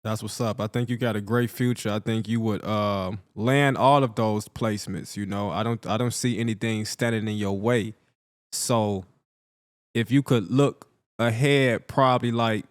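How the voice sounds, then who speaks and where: A man's voice: lowish voice, normal speed, dry recording, clean studio sound. A man hosting an interview, laid-back and encouraging, with lots of "you know". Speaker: interviewer host. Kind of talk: casual interview questions.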